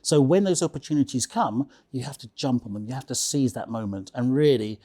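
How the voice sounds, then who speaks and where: A man's voice: medium pitch, a little fast, mellow, husky. A man speaking casually as an interview guest, telling anecdotes emphatically, repeating phrases and checking in with the listener. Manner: emphatic, repeats phrases, tags statements with "okay?".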